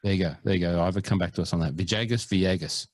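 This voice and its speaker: Man, podcast co-host, casual chat, playful, hams up foreign words, lowish voice, dry close mic. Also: quick pace, dry recording. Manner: playful, mock-earnest, hams up foreign pronunciations.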